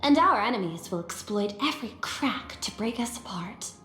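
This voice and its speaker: An actress playing a composed, analytical corporate executive in a sci-fi video game, speaking formally and with measured precision. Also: female voice, medium pitch, expressive, a bit bright, echoey room, soft background music, natural voice. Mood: measured, persuasive. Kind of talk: scripted video game character dialogue.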